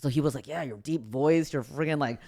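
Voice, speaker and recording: Deep-voiced woman telling a story. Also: brisk. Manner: relaxed, low-key, acts out quotes with raised voice. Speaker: comedian guest. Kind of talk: casual podcast storytelling.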